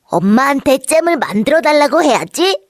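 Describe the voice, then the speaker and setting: A woman voicing a cheerful, curious little boy in a children's storybook, in an excitable childlike manner. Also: sits high, normal speed, dry recording, studio quality. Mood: curious, delighted. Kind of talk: children's storybook character acting.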